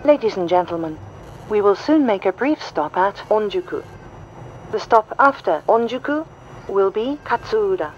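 A woman reading a scripted automated train announcement in a formal, measured and clearly phrased way. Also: mid-range voice, expressive, a little mellow. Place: played over a train's announcement system. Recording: muffled train speaker recording, cabin noise. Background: faint train running noise.

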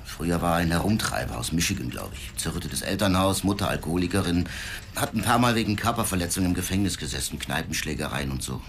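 An actor playing a sober newspaper editor in a radio play, briefing in a factual, clipped way. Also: male voice, sits low, expressive, fast talker, a bit bright, heavily acted. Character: matter-of-fact newspaper editor, sober man at work. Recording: clear ambient noise behind the voice.

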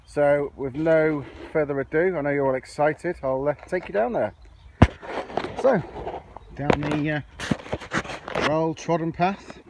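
A man's voice: on the high side, measured pace, long pauses. Chatty man in a noisy recording. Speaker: amateur gardening vlogger. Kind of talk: casual off-the-cuff video tour commentary. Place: outdoors on an allotment. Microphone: handheld camera or phone. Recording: clearly noisy outdoor handheld recording.

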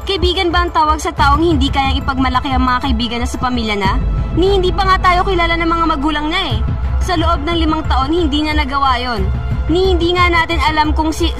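A woman's voice: high-pitched, close to an everyday voice. A young woman playing a cold, menacing schoolgirl ringleader who sneers and threatens in a student radio drama. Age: young adult.

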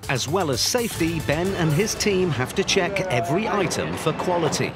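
A man's voice: fairly high pitch, very animated, normal speed. A man delivering scripted narration for a documentary. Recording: music under the voice. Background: background music.

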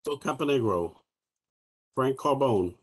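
A man calling the roll at a public board meeting over a video call, reading names briskly. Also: mid-range voice, expressive, darkish tone, husky.

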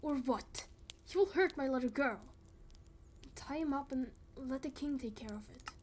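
A woman's voice: high-pitched, normal speed, a little mellow, a little hiss or hum, natural voice. A woman voicing a menacing, manipulative, indignant father in an amateur game roleplay. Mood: indignant, threatening. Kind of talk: amateur Minecraft roleplay voice acting.

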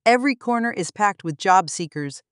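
A woman delivering scripted documentary narration in an even, explanatory newsreader manner, recorded close as a voice-over. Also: medium pitch, normal speed, smooth, no echo. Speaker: narrator of a news channel.

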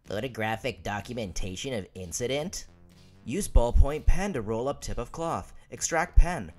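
Man voicing a serious adviser, comic dub, medium pitch, fussy, telegraphic, instructive. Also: animated, normal speed, a little mellow, soft background music, lightly acted.

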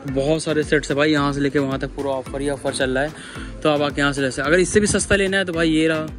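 North Indian man, even-toned vlogger, music behind. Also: a little high, fast. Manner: repetitive, addresses viewers as buddies. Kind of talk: casual walk-through shopping vlog commentary. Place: large busy supermarket, indoors. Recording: background music over store vlog audio.

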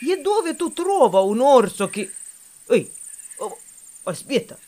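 Cast a man as a big cowardly bear, high voice, slow, broken grammar. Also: very animated, warm tone, a little hiss or hum, full character voice. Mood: alarmed. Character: big timid male bear, clumsy and cowardly. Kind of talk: children's audio story acting.

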